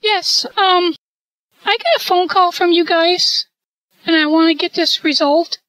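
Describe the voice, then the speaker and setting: A scambaiter putting on a high, squeaky female voice to needle a phone scammer with mock-innocent questions. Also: measured pace, bright, forceful tone, exaggerated voice, rough recording. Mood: prodding.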